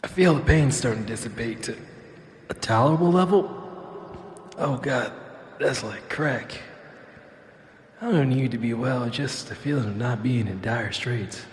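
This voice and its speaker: A man's voice: mid-range voice, measured pace, long pauses, natural voice. A man voicing a flippant, rambling cyborg who narrates his own escape in an audio drama. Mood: pained, excited. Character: wisecracking male cyborg with a robotic tail, dazed and flippant. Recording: echoey, long reverb, noisy background.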